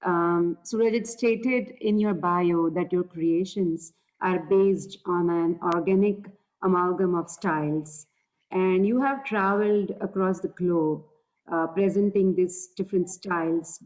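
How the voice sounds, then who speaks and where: A woman's voice: fairly low pitch, a little mellow, low-quality recording. A woman moderating a panel discussion, politely introducing speakers and asking questions.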